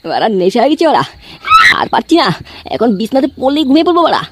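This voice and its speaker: Man doing a high-pitched drunken cartoon husband. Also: huge pitch swings, quick pace, raised voice, noisy background, full character voice. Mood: drunk, sleepy. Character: foul-mouthed drunken husband who lives for booze. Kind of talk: amateur comedy cartoon voice acting.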